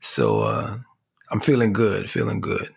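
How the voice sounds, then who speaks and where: A middle-aged man speaking casually as a podcast guest, his voice hoarse from screaming, laughing often. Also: fairly low pitch, big pitch swings, measured pace. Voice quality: gravelly, husky. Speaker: podcast guest, company CEO. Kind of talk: casual conversation.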